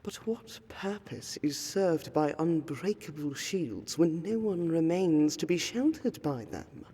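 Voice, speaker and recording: A woman performs a refined vampire noblewoman for a fantasy audio roleplay. Her delivery is very slow and soft-edged, with a husky veil over ornate, old-fashioned phrasing. She carries a courteous melancholy, and her words ring in a long hall reverb. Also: sits low, expressive, full character voice. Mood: wistful, restrained sorrow. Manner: formal, archaic, ornate diction, measured. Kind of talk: fantasy roleplay audio, scripted monologue to the listener.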